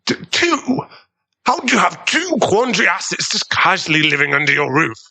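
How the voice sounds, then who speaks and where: A man's voice: high voice, sing-song, normal speed, gravelly, bright, forceful tone, exaggerated voice. A man playing a beaten, desperate, moralizing agent in an audio drama, strained, incredulous and pleading. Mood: shocked, strained.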